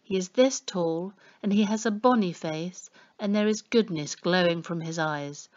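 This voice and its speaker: A woman's voice: fairly low pitch, a little slow, lightly acted. Reader lady doing a pleading humble woman in a kids tale, so earnest, muffled audio. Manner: imploring, deferential.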